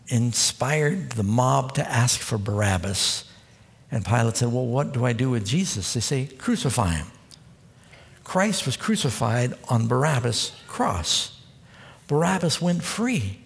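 Man, preacher, sermon, folksy storyteller, fairly high voice, long hall echo. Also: gravelly. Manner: folksy storytelling, quotes scripture, acts out dialogue.

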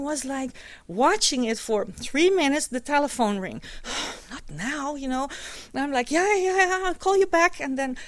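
A woman's voice: high-pitched, no room sound. A middle-aged woman from Curacao, a native Dutch speaker, telling a personal testimony in a conversational way with many fillers.

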